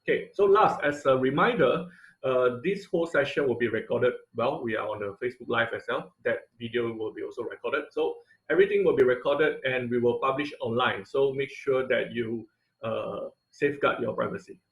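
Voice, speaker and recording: Male instructor, video-call lecture, halting delivery, echoey room. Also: mid-range voice. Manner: hesitant, many uh and um fillers. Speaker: online class instructor. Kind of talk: online lecture over slides.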